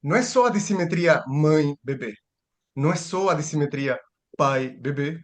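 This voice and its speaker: A man's voice: a little high, animated, normal speed. Man lecturing. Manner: thinking aloud, frequent restarts and rhetorical questions. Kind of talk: seminar lecture.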